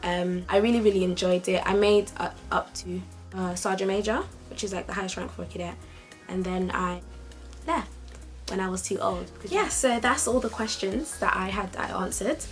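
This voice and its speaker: A young woman chats brightly to her camera, lively and upbeat, at a middle pitch. Soft music plays faintly behind her. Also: moderate pace. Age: young adult. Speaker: vlogger. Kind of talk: casual talk to camera.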